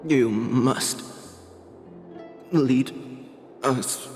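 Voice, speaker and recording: An actor playing a dying warrior in a video game, a proud, loyal friend to his king, halting and weakened, with reverb on the voice. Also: mid-range voice, a little mellow. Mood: resigned, tender. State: failing breath of a dying man, acted. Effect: long reverb, as in a memory. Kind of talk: video game dramatic acting. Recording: echoey long reverb with music.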